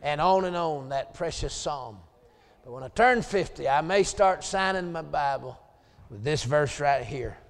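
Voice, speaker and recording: A gravelly-voiced Southern preacher in his late forties, pitched a little higher than most men. He swings between low, chatty asides and loud, hollered lines of scripture. The sound rings through a church with a long echo. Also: animated, measured pace. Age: 40s. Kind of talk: Southern revival sermon. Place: church sanctuary.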